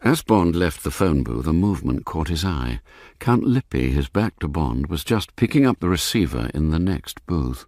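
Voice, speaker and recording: An actor narrating a radio spy drama, measured and grave. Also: male voice, very deep voice, big pitch swings, darkish tone, no echo, studio quality, full character voice. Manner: measured, storytelling.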